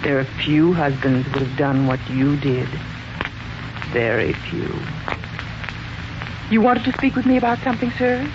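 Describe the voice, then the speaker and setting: A deferential housemaid who insinuates and quietly suspects, in 1940s radio mystery style. Her delivery is pointed beneath the politeness. The aged recording sounds muffled and plainly noisy. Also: low voice, lively intonation, unhurried, long pauses.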